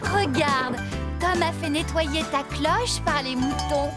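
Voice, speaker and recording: A woman's voice: high voice, takes it slowly. An actress playing a caring, reassuring farm woman in a children's cartoon dub. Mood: concerned. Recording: loud music behind the voice.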